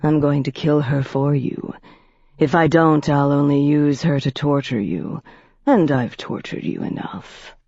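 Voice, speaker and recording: A narrator voicing an ancient male vampire master who speaks slowly, coldly and in a low hush. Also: fairly even intonation, dry recording. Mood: false tenderness. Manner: slow, low, cold and softly whispered. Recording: dull dry audiobook recording.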